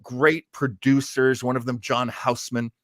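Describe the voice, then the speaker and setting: A man's voice: medium pitch, little pitch movement, unhurried, no echo. A man hosting an online show, talking casually and explaining things as he thinks aloud, on a close microphone. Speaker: host of an online radio-history show. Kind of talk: casual discussion and commentary.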